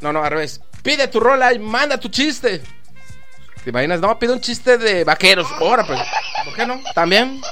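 High bright chatty Mexican man. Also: animated, normal speed. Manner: chatty, joking, rambling shout-outs. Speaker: online radio host. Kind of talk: casual live radio chat with greetings. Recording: faint music in the background.

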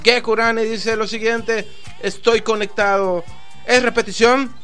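A Mexican man hosting a live online radio show, rambling through shout-outs and jokes in a chatty, loose way. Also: high voice, flat delivery, a little slow, smooth. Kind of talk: casual live radio chat with greetings. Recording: faint music in the background.

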